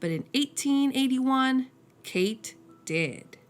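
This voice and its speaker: A woman reading a children's picture book aloud as a storyteller, slowing down and dropping soft and low on each refrain. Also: expressive, soft background music.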